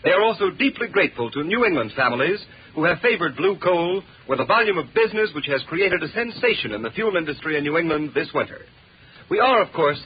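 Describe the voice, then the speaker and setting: A man's voice: fairly high pitch, breathy edge. An American man reading a scripted commercial as a 1930s radio announcer, formal and declamatory. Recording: muffled 1930s radio recording.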